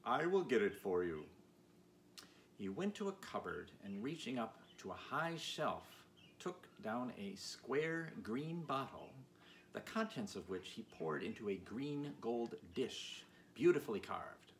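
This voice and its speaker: A man's voice: medium pitch, very animated, a little slow. A man reading a children's storybook aloud as narrator. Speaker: audiobook reader. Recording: clear background noise.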